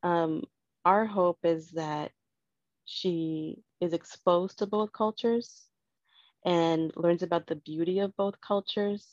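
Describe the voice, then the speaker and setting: A woman speaks in a measured, earnest way, thinking each point through as she goes. Her voice sits a little low. The sound is dry and slightly muffled, as if from a plain lo-fi mic. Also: steady tone, no echo. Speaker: guest parent. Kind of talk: casual conversation.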